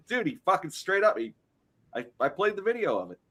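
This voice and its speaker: A man's voice: high voice, very animated, moderate pace, fairly bright tone, breathy edge. Rambling, stammering guy telling stories. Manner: rambling, profane, stumbling over words. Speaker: co-host. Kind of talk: casual chat and storytelling.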